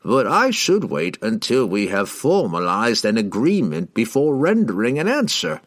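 A man voicing a raspy, smug and ambitious wizard in a storybook, with a grandiose, courtly and drawn-out delivery. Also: mid-range voice, huge pitch swings, no room sound, clean studio sound, voice pushed up. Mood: amused, confident.

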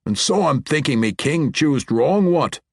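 A man's voice: on the high side, expressive, a little slow, no room sound, clean studio sound, pitched above the performer's own voice. A man voicing an angry male wild dwarf commander with a thick, grumbling brogue. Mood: grudging.

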